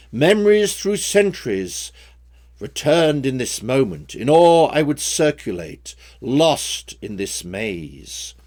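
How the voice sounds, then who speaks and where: A man reciting rhyming story poems as their author, with a measured, theatrical storytelling delivery. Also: medium pitch. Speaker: poet reading own poems.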